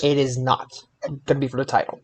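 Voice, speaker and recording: A man's voice: medium pitch, flat delivery, quick pace, husky. A man hosting a podcast, bantering casually with his co-hosts and steering the show along. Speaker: podcast host.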